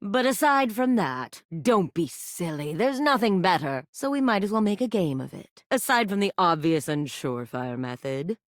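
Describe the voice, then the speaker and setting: A woman's voice: medium pitch, big pitch swings, moderate pace, few pauses, no echo, close to an everyday voice. A woman voicing a flirtatious, brazen living sex toy in a video game, speaking in a sultry, teasing drawl on a close studio mic. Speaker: voice actor. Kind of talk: video game character voice lines.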